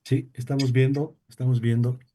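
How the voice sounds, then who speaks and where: A man's voice: mid-range voice, level tone, normal speed, dark tone, slight background noise. A man hosting a university class session who speaks hesitantly with many fillers. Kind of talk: class introduction.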